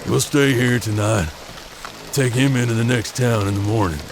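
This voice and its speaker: An actor playing a tough, practical frontier bounty hunter in a western audio drama, with drawling, folksy menace. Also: male voice, medium pitch, normal speed, noisy background, natural voice. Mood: grimly practical.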